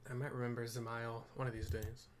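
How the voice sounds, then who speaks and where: A man's voice: mid-range voice, flat delivery, a little fast, slight background noise. A man giving casual commentary on a video game playthrough, thinking aloud as he narrates the scenes.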